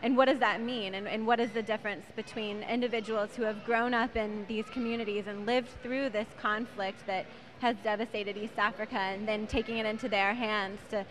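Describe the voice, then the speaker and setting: A woman's voice: mid-range voice, steady tone, brisk. A young American woman in her twenties, a guest on a talk show, speaking fluently and passionately about her charity work. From United States. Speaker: guest, charity executive director. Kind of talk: interview answers.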